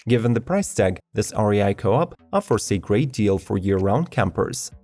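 A man reading a scripted product review as a voice-over, in an even, list-like manner. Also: on the low side, moderate pace, no echo. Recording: voice-over with faint background music.

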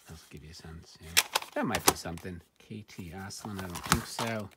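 A man's voice: deep voice, moderate pace. A middle-aged man giving casual, off-the-cuff commentary on thrift finds, with dry, wry, muttered asides. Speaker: reseller showing thrift finds. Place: indoor room at home, at a table. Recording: noisy home recording with handling clicks.

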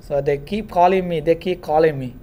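A man's voice: fairly high pitch, brisk, dull audio, slight background noise. A young man from Myanmar, a native Rohingya speaker, giving an earnest talk as a guest speaker in a classroom, filmed by a far camera. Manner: earnest, storytelling with hand gestures.

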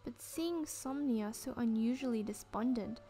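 A woman's voice: a little high, measured pace, mellow. A young woman reading a visual novel's narration aloud at home in a careful way, with small stumbles. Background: game music.

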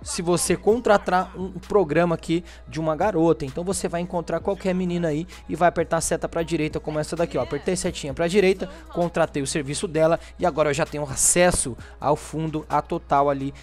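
A man's voice: fairly high pitch, steady tone, fast, hardly any pauses, faint music behind. A Brazilian man hosting a gaming channel, giving a casual, chatty walkthrough in informal speech peppered with little check-in tag questions. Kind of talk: casual video game walkthrough commentary.

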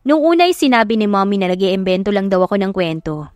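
A woman's voice: mid-range voice, sing-song, fast, darkish tone, dry recording, clean studio sound. A woman with a Filipino accent giving a dramatic first-person narration of a story. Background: background music.

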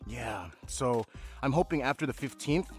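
A man's voice: medium pitch, expressive, moderate pace, faint music behind. A man vlogging outdoors in a yard, explaining lawn work in an upbeat, chatty way and laughing often. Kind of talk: casual how-to vlog.

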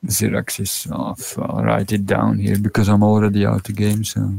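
Gravelly man from Belgium on voice chat. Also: lowish voice, normal speed. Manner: relaxed, chuckling, easygoing. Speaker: fellow player on voice chat. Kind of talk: casual chat.

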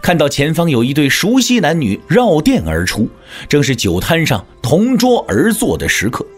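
A man narrating an audio drama as scripted storytelling, in a measured, theatrical manner. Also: a little high, huge pitch swings, slightly rough, soft background music.

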